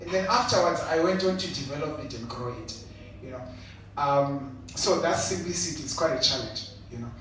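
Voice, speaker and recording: A man's voice: fairly high pitch, normal speed, a bit bright. A man from Zimbabwe speaking on an entrepreneurship panel, earnest and reflective, often adding "you know". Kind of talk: panel discussion answer. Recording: echoey hall from far off, noisy.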